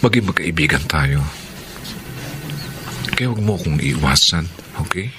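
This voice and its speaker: A man's voice: extremely low pitch, lively intonation, normal speed, background noise. A man acting in a Filipino radio drama, playing an earnest, lovestruck teacher who was once strict. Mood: earnest, pining. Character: lovestruck male teacher, earnest, formerly strict, wooing a student.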